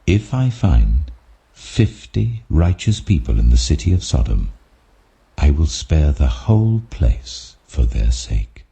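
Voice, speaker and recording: A British man voicing an almighty God in a scripture story, solemn, commanding and just, with grave, deliberate authority. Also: extremely low pitch, sing-song, creaky, mellow, breathy edge, heavily acted. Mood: solemn assent.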